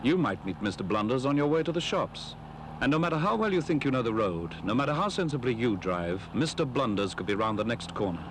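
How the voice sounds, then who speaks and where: A man's voice: medium pitch, very animated, fairly quick, background noise. A man giving scripted narration for an old public information film.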